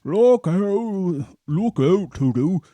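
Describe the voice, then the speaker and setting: A man voicing a big heroic male hippopotamus in a storybook, shouting warnings loudly. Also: high voice, expressive, very slow, no room sound, voice pushed up, heavily acted. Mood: alarmed.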